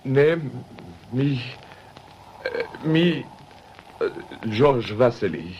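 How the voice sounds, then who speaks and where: A man playing a nervous young foreign suspect with a non-native accent and halting, broken English in a radio detective drama. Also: mid-range voice, expressive, a little mellow, highs cut off, slight background noise. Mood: evasive, uneasy.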